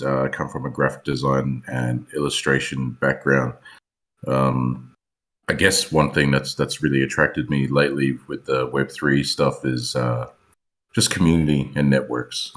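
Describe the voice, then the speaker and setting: A man introducing himself as a brand strategist on a late-night call, relaxed and easygoing. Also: a bass voice, unhurried. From Australia.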